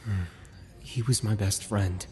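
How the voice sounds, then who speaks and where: A man's voice: lowish voice, fairly even intonation, a little slow, a little mellow, lightly acted. A man as a bitter, sarcastic young soldier. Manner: defensive, sarcastic.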